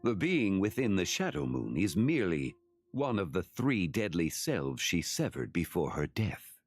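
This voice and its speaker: A man playing an ancient, composed sage in a video game, speaking formally and with measured gravity. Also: low-pitched, big pitch swings, faint music behind, heavily acted.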